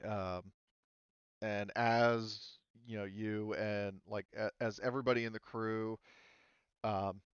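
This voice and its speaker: A man narrating a tabletop roleplaying game as game master, improvising in a halting way with many pauses. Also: fairly low pitch, steady tone, clear, resonant tone, no echo, muffled recording.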